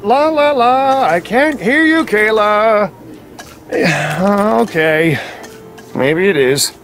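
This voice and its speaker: A woman's voice: medium pitch, lively intonation, slow pace. An actress playing a wry, wary female park manager in a video game, talking in a sardonic, conversational way. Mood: playful, evasive.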